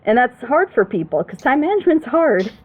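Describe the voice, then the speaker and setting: A woman's voice: high-pitched, big pitch swings, brisk, phone-quality sound, crowd noise. A woman commentating in a casual, opinionated chat, emphatically repeating her points. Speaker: reaction show commentator.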